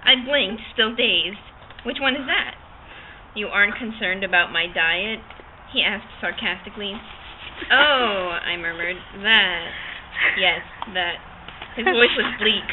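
A woman's voice: mid-range voice, slow. Giggly young woman reading aloud, tinny sound. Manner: theatrical, often breaking into giggles. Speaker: amateur reader. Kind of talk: dramatic read-aloud of a novel, giggly and informal. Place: open-sided park picnic shelter outdoors. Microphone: camcorder across a picnic table. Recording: tinny phone-like audio, noisy outdoor picnic shelter.

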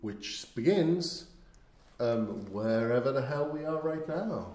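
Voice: mid-range voice, animated, takes it slowly, warm tone, faint music behind. A podcast co-host chatting casually and introducing scenes, recorded with a roomy echo.

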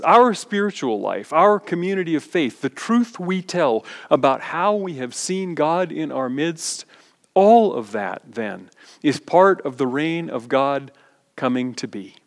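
A middle-aged male pastor giving a measured, reflective sermon in a church sanctuary, his phrases often trailing off softly. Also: fairly high pitch. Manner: measured, reflective preaching with soft trailing endings. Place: large church sanctuary, standing before the altar. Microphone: far camera. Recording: long church reverb.

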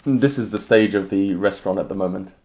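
A man's voice: low-pitched, fairly quick, darkish tone. A man in his twenties from England with an English accent, talking casually and a little hesitantly in an interview. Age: 20s. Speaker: aspiring restaurateur and home cook.

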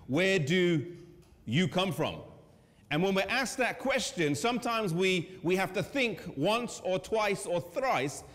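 A man in his thirties with an English accent, preaching in a conversational way with pauses and fillers. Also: sits high, animated, a bit bright. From England. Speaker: pastor. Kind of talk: sermon presentation. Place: church hall with a seated audience. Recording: long church hall reverb.

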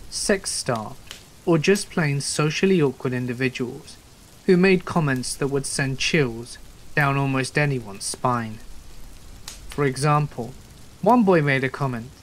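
A man with a medium-pitched voice reads a story in the style of scripted narration. A faint wash of rain runs behind his words. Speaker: narrator of scary stories. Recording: steady rain noise behind. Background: rain and thunderstorm ambience.

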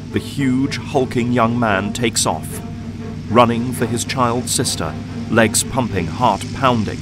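A man narrating an audio drama from a script in a solemn, dramatic storytelling manner. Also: mid-range voice. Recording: loud music and effects behind.

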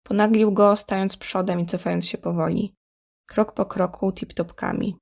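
A woman's voice: mid-range voice, fairly even intonation, moderate pace, vocal fry, a little mellow. A woman reading scripted story narration in Polish as the narrator of an audio drama.

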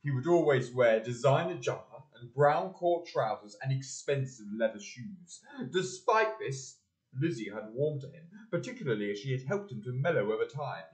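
A young man acts as the male storyteller of a community radio play. His mid-pitched voice moves around a lot as he reads scripted narration. The room rings around him. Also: lively intonation, normal speed, natural voice. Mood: measured, wry. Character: storyteller narrator of a British period drama. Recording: echoey hall.

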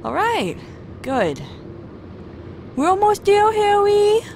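A woman playing a caring, excitable mother who is easily exasperated, in an audio drama. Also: very high-pitched, slow pace, background noise, exaggerated voice. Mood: upbeat coaxing.

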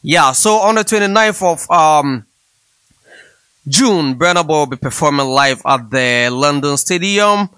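A young man with a Ghanaian accent talks casually about music to his viewers. His voice is bright, forceful and on the high side, and he drives points home by repeating them. The sound is dry and close on a studio mic. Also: expressive, a little slow, smooth, no room sound. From Africa. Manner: emphatic, repeats his phrases. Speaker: host. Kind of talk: casual music commentary to camera. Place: small indoor room. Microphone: close studio microphone on boom arm.

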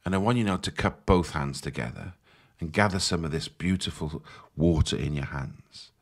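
A man with a low, deep voice leads a guided meditation. He speaks in a measured, soothing way, giving gentle instructions as a guide would.

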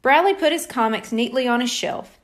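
A woman reading a children's storybook aloud in an expressive narrating style. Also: on the high side, moderate pace. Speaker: audiobook reader.

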